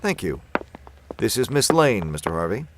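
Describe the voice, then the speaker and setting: An actor playing a composed, confident gentleman detective in an old-time radio drama. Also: fairly low pitch, big pitch swings, normal speed, background noise. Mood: assured. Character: composed, confident gentleman sleuth, man of reason.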